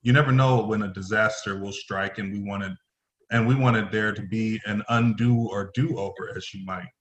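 A man playing a stern, formal middle-aged company executive in a play reading, halting and stumbling over his phrases. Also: on the low side, steady tone, highs cut off, natural voice. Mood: formal, guarded. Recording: roomy echoing voice.

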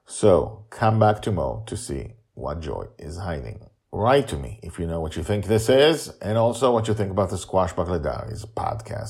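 A middle-aged man hosting his own storytelling podcast, talking informally and emphatically to listeners with drawn-out words. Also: deep voice, a little mellow, slightly rough. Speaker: author, narrator and podcast host. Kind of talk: reading own serial story and addressing listeners.

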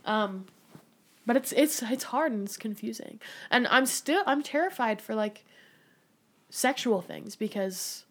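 Young woman guest, casual, filler-heavy, a bit high. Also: expressive, a little slow. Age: young adult. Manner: rambling, lots of "like" fillers. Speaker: podcast guest. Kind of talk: casual candid conversation.

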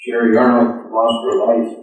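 A man's voice: medium pitch, completely flat delivery, normal speed. Echoey, distant male judge delivering a sentence. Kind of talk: formal sentencing statement in court. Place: courtroom, picked up from far off. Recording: echoey courtroom, distant, tinny phone-like sound.

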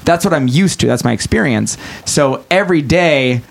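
Brief: man, podcast guest, candid and self-mocking, laughs a lot, swears, quick bursts when quoting himself. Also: on the high side, expressive, creaky. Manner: swears casually, speeds up when acting out his thoughts. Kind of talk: casual chat.

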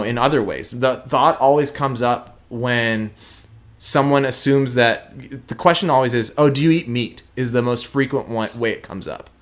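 A man's voice: mid-range voice, fairly bright tone. A young man from Houston with a slight Texas twang, speaking earnestly and emphatically as an interview guest, often restarting his sentences. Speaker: guest, prosecutor. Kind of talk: candid interview conversation.

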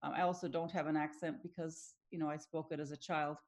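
A woman's voice: low-pitched, flat delivery, quick pace, a little mellow. Thoughtful middle-aged woman, Finnish native. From Tanzania. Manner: thoughtful, many restarts and small laughs. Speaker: academic researcher guest. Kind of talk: interview answers.

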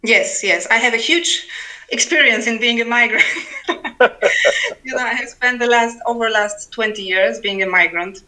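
A woman's voice: fairly high pitch, normal speed, sharp tone. A woman, talking conversationally and with wry humor as an interview guest. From Poland. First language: Polish. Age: 40s. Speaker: podcast guest, writer. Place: remote internet call.

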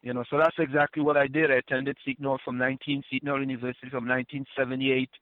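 A man's voice: mid-range voice, level tone, racing, dry recording. A man in his sixties from Trinidad and Tobago, a former Wall Street compliance officer, talking earnestly as an interview guest and often repeating words as he restarts. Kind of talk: casual interview talk. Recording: phone-line call, dry.

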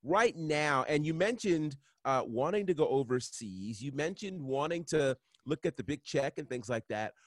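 Expressive male interviewer, lively pitch. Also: normal speed, hardly any pauses, no room sound, studio quality. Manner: expressive, laughs easily. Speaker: interviewer host. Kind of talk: casual podcast interview.

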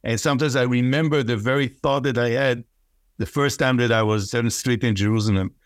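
A man from Israel, whose first language is Hebrew, speaking thoughtfully as a poet guest in an interview, pausing and laughing softly. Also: mid-range voice, no echo, clean studio sound. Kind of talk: reflective interview talk.

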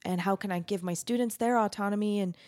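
An American woman in her thirties hosts a casual interview. She talks informally at a middle pitch and often voices agreement. The recording is dry and close, with very little room sound. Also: fast, darkish tone, dry recording. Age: 30s. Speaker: podcast host and university teacher.

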